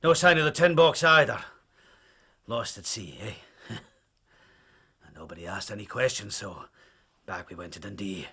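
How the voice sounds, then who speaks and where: A bitter old Scottish seaman spinning a yarn in an audio drama. His voice is full of creak and rasp, with a hard, forceful edge. He mutters slyly, then swells suddenly. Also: on the low side, a little slow, pauses often, full character voice. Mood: grim, secretive. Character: rough, thirsty old Scottish sailor, sly and bitter.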